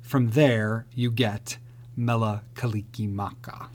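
A man's voice: fairly low pitch, steady tone, slow, darkish tone. Chatty man co-hosting a podcast. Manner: playful, chatty, explains at length. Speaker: podcast co-host. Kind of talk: casual chat, wine tasting.

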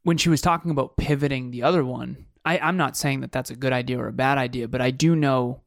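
A man's voice: medium pitch, fairly even intonation, quick pace, vocal fry, no room sound, studio quality. A man co-hosting a podcast, musing aloud in casual chat with frequent restarts. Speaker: podcast co-host.

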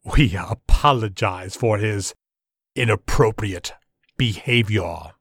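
A man voicing an ominous, sneering male high priest with a cold, haughty, slow menace. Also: gravelly, fairly bright tone, slightly rough, no room sound, studio quality, heavily acted. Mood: menacing.

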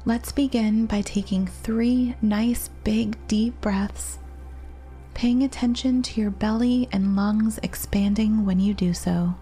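A woman guides a relaxation meditation with a mellow, breathy voice. She speaks gently and soothingly, leaving long pauses between phrases. Music plays behind her. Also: medium pitch, steady tone. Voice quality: soft, breathy.

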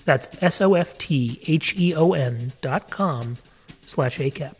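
A man with a somewhat high voice reads a scripted podcast opening and sponsor spot. He is measured and careful, spelling things out slowly. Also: husky. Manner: measured, spells out web address. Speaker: podcast host.

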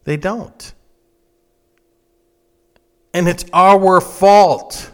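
A man's voice: sits high, drawn-out words. An American man in his fifties delivering an opinionated political monologue, blunt and emphatic, often repeating phrases to drive them home. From United States. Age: 50s. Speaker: political commentator and host.

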